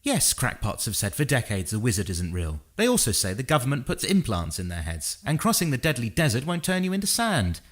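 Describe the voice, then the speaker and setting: An actor playing a stern, scornful and authoritative city official in a fantasy audio drama. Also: male voice, medium pitch, wildly swooping pitch, brisk, few pauses.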